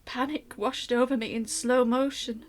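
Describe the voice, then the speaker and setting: An actress playing an anxious middle-aged mother, telling her story in an expressive, wry first-person radio play monologue. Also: fairly high pitch, moderate pace, lightly acted. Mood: tense, alarmed. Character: anxious middle-aged mother recounting a troubled family holiday.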